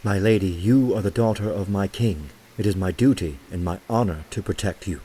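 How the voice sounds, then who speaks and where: A man's voice: low voice, moderate pace, mellow, breathy edge. A man voicing a noble, loyal and humble knight in an audio drama, with formal, courtly speech. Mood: earnest, respectful.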